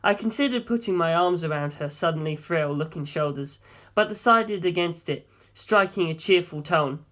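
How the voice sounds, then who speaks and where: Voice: medium pitch, moderate pace. An audiobook narrator reading a scripted first-person story expressively. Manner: expressive, acting out dialogue.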